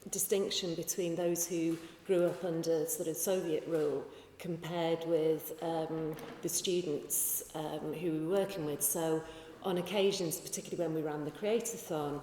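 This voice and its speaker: Mellow-voiced woman panelist in a hall. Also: low voice, steady tone, normal speed, hardly any pauses. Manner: thoughtful, with fillers and restarts. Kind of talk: panel discussion answer. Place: large conference hall. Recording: echoey hall from far off.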